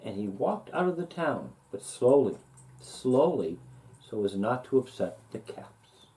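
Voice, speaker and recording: A man reading a children's story aloud expressively, with deliberate, sing-song emphasis. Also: mid-range voice, very animated, mellow, poor audio. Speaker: storybook reader.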